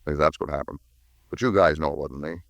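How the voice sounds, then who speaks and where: An actor playing an evasive, cocky American small-time crook, slangy and defensive, in a 1950s radio crime drama. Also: male voice, rumbling low, fast talker, fairly bright tone, dry recording. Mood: defensive, nervous.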